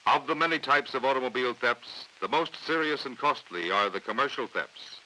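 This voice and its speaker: An American man reading scripted training film narration in a formal, authoritative, measured way on a vintage 1950s soundtrack. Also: mid-range voice, sharp tone, low-quality recording. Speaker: documentary narrator.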